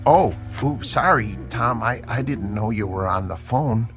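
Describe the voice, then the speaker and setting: A man's voice: mid-range voice, expressive, measured pace, a bit bright, faint music behind. An actor playing a timid, apologetic, lonely man who stammers hesitantly, in an audio drama. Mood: apologetic, flustered.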